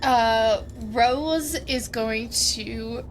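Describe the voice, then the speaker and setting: A woman's voice: a little high, extremely slow, slight background noise. A woman playing a tabletop roleplaying game on a livestream, chatting casually and thinking aloud hesitantly. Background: music.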